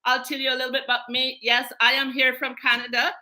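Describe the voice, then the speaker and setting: A woman's voice: high voice, flat delivery, fast, pushed and bright. A woman from Guyana, born in England, hosting and presenting to an online group in an emphatic way, repeating key phrases. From born in England, raised in Guyana. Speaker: host, menstrual wellness coach.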